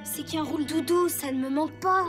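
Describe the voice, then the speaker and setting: An actor voicing an earnest, sentimental young child motorbike in a children's cartoon, expressive, with loud music behind. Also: sits high, a little fast, close to an everyday voice. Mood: wistful, upset. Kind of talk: animated children's cartoon dubbing.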